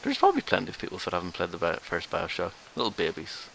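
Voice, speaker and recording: Northern Irish accent वाला एक युवा आदमी, podcast का co-host, सूखे और तंज़ भरे अंदाज़ में आराम से बात करता हुआ।. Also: male voice, low voice, wildly swooping pitch, fast talker, creaky, fairly bright tone, slight background noise. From Northern Ireland. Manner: dry, sarcastic teasing. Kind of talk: casual chat.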